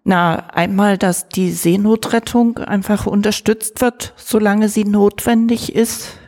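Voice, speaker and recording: A woman's voice: medium pitch, fairly even intonation, takes it slowly, darkish tone. An activist woman answering interview questions in an earnest, conversational way. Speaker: refugee support activist.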